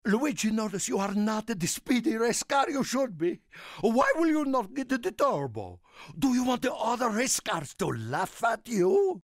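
An actor playing an excitable, friendly little Italian car in a video game, with an Italian accent and a theatrical, effusive manner. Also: male voice, very high-pitched, unhurried, dry recording, clean studio sound, full character voice. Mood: fretful, pleading. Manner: theatrical, effusive, speaks of himself in third person. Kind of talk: video game character voice lines.

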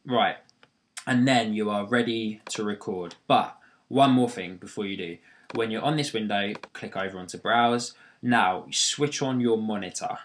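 Young man with a deep voice. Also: measured pace. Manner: rambling, friendly, many fillers and restarts. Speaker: tutorial maker. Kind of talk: casual screen-recording tutorial. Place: bedroom near a busy road. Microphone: built-in laptop microphone.

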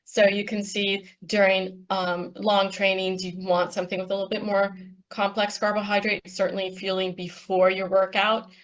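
A woman on a panel, explaining nutrition slides in a steady, instructive way with frequent ums. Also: mid-range voice, normal speed, dull audio. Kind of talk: presenting slides in a panel talk.

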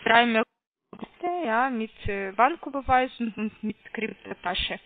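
Slow, high-voiced foreign woman on the phone. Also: animated. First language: not German. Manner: hesitant, spelling things out carefully. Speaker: call centre caller. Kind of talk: halting sales phone call. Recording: muffled, narrow phone line.

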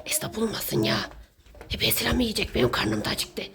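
A woman playing a sneaky, hungry neighbor villain in a children's pretend-play skit, muttering theatrically to themselves with drawn-out complaints. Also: on the high side, very animated, creaky, background noise. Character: sneaky, hungry Hello Neighbor villain, seeker and snack thief. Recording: loud music over the voice.

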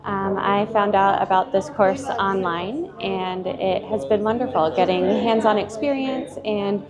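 A woman's voice: medium pitch, steady tone, a little slow, a loud crowd. A woman from the USA briefly and cheerfully describing her training experience on a lapel mic. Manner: upbeat, brief.

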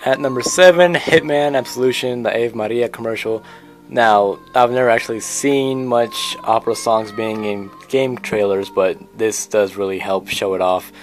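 A man's voice: lowish voice, fairly even intonation, moderate pace, soft background music. A man giving casual, informal and opinionated commentary as the host of a video game countdown.

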